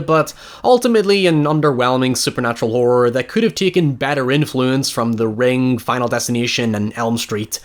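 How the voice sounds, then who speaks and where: A man's voice: mid-range voice, dry recording. A man narrating a scripted video essay as its host, in a conversational, wry and sardonic way. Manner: wry, sardonic, with rhetorical questions and mild swearing. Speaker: host of a film retrospective show.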